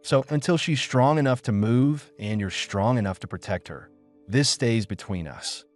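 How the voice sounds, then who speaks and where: Man voicing a steady, protective sci-fi rescuer. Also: medium pitch, normal speed, soft background music, close to an everyday voice. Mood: steady, cautious. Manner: steady, matter-of-fact, clipped. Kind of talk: audiobook character dialogue.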